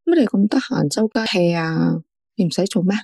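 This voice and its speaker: Husky, fussy Hong Kong Cantonese woman actor. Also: on the low side, lively intonation, a little fast, dark tone, no echo, clean studio sound, exaggerated voice. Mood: mock indignant. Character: fussy, nagging woman best friend, mock-indignant. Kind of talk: radio drama acting, phone conversation.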